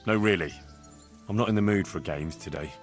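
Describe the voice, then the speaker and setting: An actor playing an easygoing, loyal young blacksmith's son in a medieval video game, casual, wry and sincere. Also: male voice, low-pitched, brisk, faint music behind, natural voice. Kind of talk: naturalistic video game dialogue acting.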